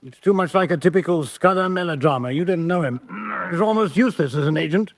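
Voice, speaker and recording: An actor in an old-fashioned radio drama playing a brusque, sceptical senior government official, curt, precise and pompous. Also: male voice, high voice, brisk, gravelly, no echo. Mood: dismissive.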